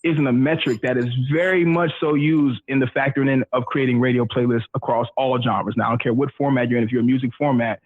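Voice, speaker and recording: A man's voice: mid-range voice, fairly even intonation, fast talker, hardly any pauses, phone-quality sound. A middle-aged man, a radio professional, chatting as a podcast guest in an easygoing, slangy, explanatory way. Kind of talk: casual conversational interview.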